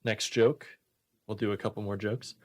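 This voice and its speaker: A man's voice: lowish voice, level tone, moderate pace, no room sound. A man hosting a radio comedy show, reading jokes and bantering casually with dry, mock-serious commentary. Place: radio studio.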